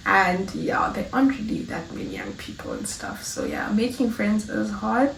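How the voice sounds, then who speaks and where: Vlogger, woman in her twenties from South Africa, chatty and rambling, mid pitch, recorded a little off-mic in a roomy space. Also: lively intonation, normal speed, creaky, distant mic, slight background noise. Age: 20s. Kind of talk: casual chat to camera.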